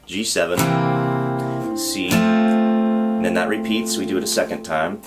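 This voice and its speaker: A man teaching a song on guitar, explaining step by step in a patient, relaxed way and counting beats aloud. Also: low voice, unhurried. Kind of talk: guitar tutorial. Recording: loud guitar under the voice. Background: acoustic guitar playing.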